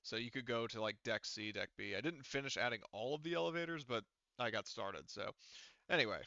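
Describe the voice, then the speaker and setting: A man with a clear, forceful voice that has some gravel in it runs a tabletop game. He explains in a casual way, thinking aloud, on a dry and slightly muffled close recording. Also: medium pitch, a little fast, no room sound. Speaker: game master.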